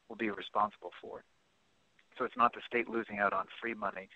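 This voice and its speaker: A man's voice: fairly low pitch, no echo. A man on a press call panel, giving political commentary to reporters over the telephone. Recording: dry, muffled telephone line.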